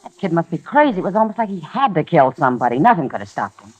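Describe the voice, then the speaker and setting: A woman's voice: fairly low pitch, very animated, quick pace, a little mellow. Wry, frank saloon woman. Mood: shaken, frank. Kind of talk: 1950s radio western acting. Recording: muffled old radio recording.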